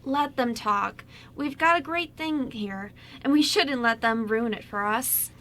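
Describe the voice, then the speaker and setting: High, bright, sweet young woman acted out. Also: measured pace. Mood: supportive. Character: sweet, supportive young woman, encouraging best friend. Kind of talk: amateur radio drama script reading.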